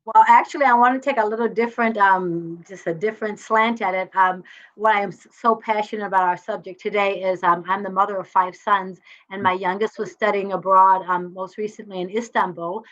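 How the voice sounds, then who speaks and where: A woman's voice: medium pitch, fairly even intonation, fairly quick, a bit bright. Woman, middle-aged, earnest, heartfelt, personal story, somewhat dull sound. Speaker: entrepreneur, panel guest.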